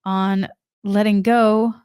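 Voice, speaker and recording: A woman's voice: mid-range voice, flat delivery, takes it slowly, a little mellow, clean tone, dry recording, studio quality. Earnest woman with a faint Boston accent. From Massachusetts, New England. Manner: earnest, rambling with restarts and stumbles. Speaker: spiritual channel host. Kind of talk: energy forecast talk.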